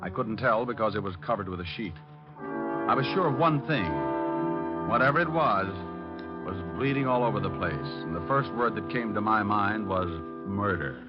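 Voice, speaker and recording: An actor playing a breezy, wisecracking private detective in 1940s radio style, flippant and deadpan. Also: male voice, lowish voice, moderate pace, natural voice. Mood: wry narrating. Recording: muffled old radio recording with music.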